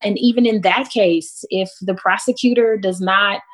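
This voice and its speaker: A woman with an American accent speaking as a panelist, explaining things in a measured way with emphasis on key words. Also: medium pitch, steady tone, rough recording.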